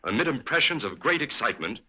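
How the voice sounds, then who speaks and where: A man playing a calm male crime-fighting hero in a 1940s radio drama, speaking in a steady, decisive way. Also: medium pitch, quick pace, noisy background, close to an everyday voice. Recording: old radio recording with crowd.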